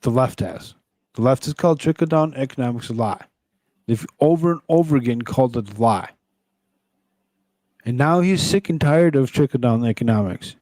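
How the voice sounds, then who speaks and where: Mellow male political show host, dry sound. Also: medium pitch, lively intonation, moderate pace, dry recording. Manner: rambling, conversational. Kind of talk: casual news commentary, reading articles aloud and reacting.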